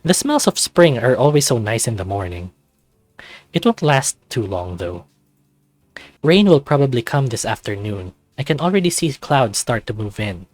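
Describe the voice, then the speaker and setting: A man reading visual novel narration aloud in an expressive scripted style. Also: medium pitch, moderate pace, no echo. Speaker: visual novel let's play reader.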